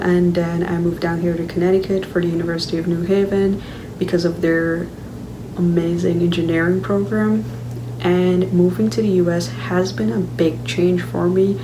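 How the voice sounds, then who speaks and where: A young woman from Guyana talks in a friendly, steady way that sounds a little recited, speaking into a laptop webcam in her bedroom. Her voice is lowish for a woman, and a clear electrical hum runs underneath it. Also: moderate pace. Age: young adult. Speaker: student speaker. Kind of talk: webcam testimonial. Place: bedroom at home.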